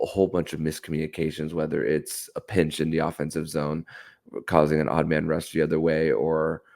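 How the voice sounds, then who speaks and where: A man's voice: extremely low pitch, creaky, a little mellow. A man hosting a sports podcast solo, giving enthusiastic commentary on hockey.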